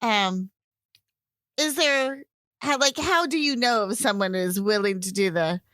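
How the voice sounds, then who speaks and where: A woman hosting a podcast, telling a travel story in a lively, casual way with plenty of fillers. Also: mid-range voice, a little slow, dry recording. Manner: animated storyteller, many fillers. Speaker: podcast host.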